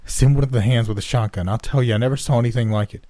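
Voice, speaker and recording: A man's voice: mid-range voice, very fast, warm tone, no echo, voice pushed up, lightly acted. A man doing a shaken, frightened mother. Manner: urgent, insistent.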